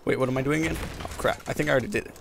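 A man's voice: medium pitch, steady tone, rapid-fire, gravelly. A young American man giving casual, slangy gameplay commentary, exclaiming and muttering to himself as he plays. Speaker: gamer recording a playthrough. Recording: gunfire and game noise behind voice. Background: video game gunfire and explosions.

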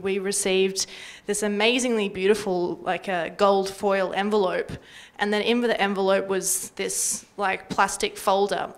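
A young Australian woman talking casually and wryly into a handheld mic on a panel. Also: mid-range voice. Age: young adult. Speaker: panellist from community radio. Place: indoor workshop panel before a small audience. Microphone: handheld microphone.

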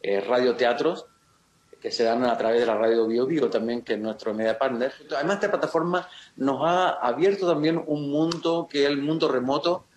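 A man in his sixties, talking casually in an interview over a video call, halting and often restarting his sentences. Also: medium pitch, a little mellow. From Chile. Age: 60s. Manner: halting, many restarts and fillers. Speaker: actor, interview guest. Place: home room, video call. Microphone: laptop camera mic on video call. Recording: roomy video call audio.

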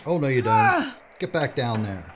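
A man's voice: a little high, wildly swooping pitch, moderate pace. An actor playing a practical, pedantic man in an audio drama, matter-of-fact and wry. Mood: urgent. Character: practical, pedantic man filmmaker, quick to correct. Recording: dull lo-fi audio, faint noise.

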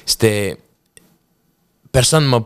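A man in his thirties with a Quebec French accent, a podcast guest telling his story in an animated way, with many restarts and English words mixed in. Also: mid-range voice, slow, clean tone, no echo. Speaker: podcast guest, professional hockey player. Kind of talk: casual storytelling interview. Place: indoor podcast studio with bookshelves. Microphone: studio podcast mic on boom arm.

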